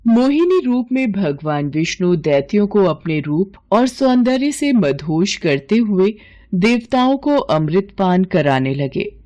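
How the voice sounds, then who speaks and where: A woman tells a mythological bedtime story from a script. Her pace is unhurried and her voice mellow, with lively, wide swings in pitch. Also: highs cut off. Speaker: storyteller, narrator.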